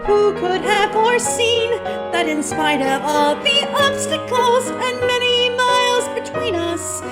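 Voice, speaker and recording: A woman's voice: very high voice, expressive, unhurried, over loud music. A woman playing a blissful, devoted young bride, singing in musical theatre style over accompaniment.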